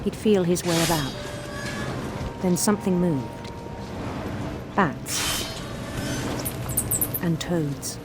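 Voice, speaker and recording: A middle-aged woman playing a measured, concerned psychiatrist, narrating a letter deliberately in a game. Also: on the low side. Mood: hushed wonder. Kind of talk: scripted game narration, letter read aloud. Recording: loud music over the voice.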